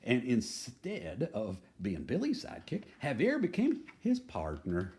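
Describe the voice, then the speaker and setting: A man's voice: mid-range voice, huge pitch swings, a little slow. A man reading a children's picture book aloud in a folksy, playfully dramatic manner, adding chatty asides. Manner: folksy, playful dramatic delivery, dropping to hushed tones.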